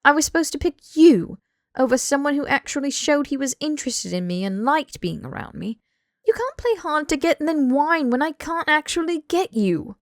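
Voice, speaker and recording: A woman's voice: sits high, sing-song, normal speed, dry recording, clean studio sound, pitched above the performer's own voice. A woman voicing an earnest, blunt young British man in audiobook dialogue, emphatic and pleading. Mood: exasperated, heated. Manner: emphatic, sweary, rambling tirades.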